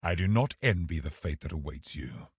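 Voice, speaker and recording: Deep, husky, scheming zealot villain. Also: male voice, animated, no room sound, clean studio sound, full character voice. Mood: scornful. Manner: measured, haughty, calculating, formal. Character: scheming, pious, cold male religious officer villain. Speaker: game boss character. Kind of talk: dramatic video game voice acting.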